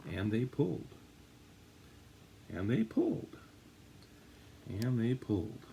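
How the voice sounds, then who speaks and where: A man with a husky voice reading a children's storybook aloud, with dramatic, drawn-out emphasis. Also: mid-range voice, huge pitch swings, mellow, a little hiss or hum. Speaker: story reader. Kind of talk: animated read-aloud of a children's picture book.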